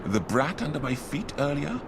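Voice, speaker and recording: A man's voice: fairly high pitch, big pitch swings, normal speed, noisy background. An actor playing a surly, sour watchman in a fantasy video game, curt and sneering. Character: surly, sour male watchman nicknamed after a weasel. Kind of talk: video game cutscene acting.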